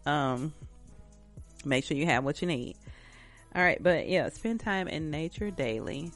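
A woman's voice: deep voice, expressive, measured pace, soft background music. A woman hosting a casual self-care talk, chatting directly to her listeners in an encouraging, repetitive way, a little stuffy-nosed from allergies. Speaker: host.

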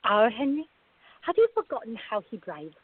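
Level-headed young woman played by an actress, slightly rough-edged voice, measured and polite, radio drama, dull recording. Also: fairly high pitch, huge pitch swings, no room sound, heavily acted. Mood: teasing, affectionate. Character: sensible, gently teasing young working woman.

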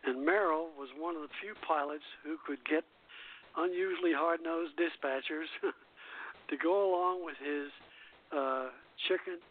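A man with a slightly high voice hosts a radio show in a casual style. He reads quotes haltingly, and the sound is thin and narrow, like a telephone line. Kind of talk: casual hosting, reading quotes from a book.

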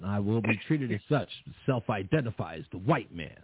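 A husky-voiced man throws out brash, teasing remarks with lively swings in pitch. His manner is jokey and profane. The line sounds thin, like a telephone. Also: normal speed, no echo. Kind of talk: teasing banter. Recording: dry, phone-like line.

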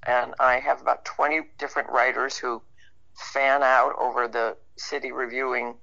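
Very gravelly, bright-voiced woman. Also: rumbling low, little pitch movement, moderate pace. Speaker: interview guest, actor and writer. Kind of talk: casual conversational interview. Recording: dull band-limited radio call.

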